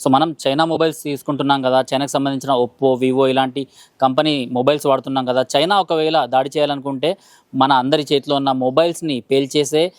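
A man's voice: medium pitch, fairly even intonation, fast talker, no echo, clean studio sound. A man with a Telangana Telugu accent working as an interviewer, putting questions in a conversational way.

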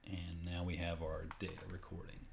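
Male tutorial narrator, low voice, informal and unscripted, walks through steps plainly. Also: completely flat delivery, normal speed, gravelly, noisy background. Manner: informal, unscripted, step-by-step explaining. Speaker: tutorial presenter. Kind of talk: casual screen-recorded how-to narration. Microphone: voice-over on a screen capture.

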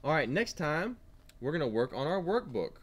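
A man teaching kindergarteners with an animated read-aloud, asking simple questions as he goes. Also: on the high side, moderate pace. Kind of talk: read-aloud lesson for young children.

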